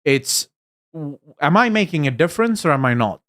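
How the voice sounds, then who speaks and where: A man's voice: on the high side, very animated, normal speed, a bit bright. A young adult Afrikaans man from South Africa with a mixed accent, hosting a live stream, reading chat and giving emphatic opinions with fillers and restarts. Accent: Afrikaans South African, mixed with American and British. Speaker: livestream host reading chat. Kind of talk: casual live chat commentary.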